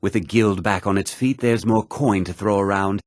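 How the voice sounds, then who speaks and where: A man playing a self-assured, smooth-talking thief and merchant in a fantasy video game, with an expressive conversational delivery. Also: fairly low pitch, moderate pace, dry recording, clean studio sound, lightly acted. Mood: self-assured, casual. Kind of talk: scripted fantasy game character dialogue.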